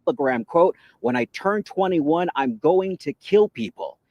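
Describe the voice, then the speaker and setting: A man delivers a broadcast news report as a TV reporter. His voice sits a little high, and the sound is close and dry. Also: very animated, unhurried, vocal fry, a little mellow, no echo.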